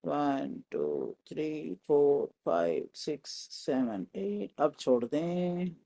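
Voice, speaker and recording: Slow-paced Indian man guiding meditation. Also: on the high side, gravelly. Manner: calm, soothing, instructing in short phrases with pauses. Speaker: guided meditation teacher. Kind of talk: guided meditation instruction.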